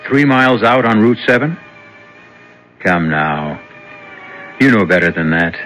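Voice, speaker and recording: A man's voice: lowish voice, animated, a little slow, a bit bright. Theatrical male mystery host over music. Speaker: host of a radio mystery anthology. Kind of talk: theatrical scripted narration, 1970s radio.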